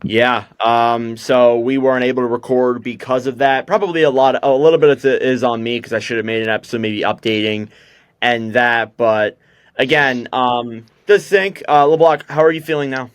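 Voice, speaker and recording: A man's voice: medium pitch, fairly even intonation, moderate pace, clean tone, no room sound. A young man hosting a casual hockey podcast, chatty and loose with lots of fillers. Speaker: podcast host.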